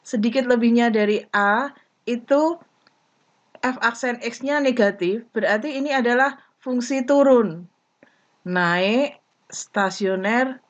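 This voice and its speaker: A woman teaching math at a very slow pace, with a fairly high voice. She breaks each explanation into short, careful phrases. The recording sounds dull and lo-fi. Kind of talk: slow step-by-step math lesson.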